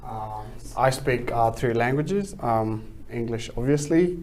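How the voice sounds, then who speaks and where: A man's voice: medium pitch, lively intonation, slow, darkish tone. A man who grew up in Kenya and whose first language is Dinka, speaking unhurriedly on a panel. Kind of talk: casual panel discussion.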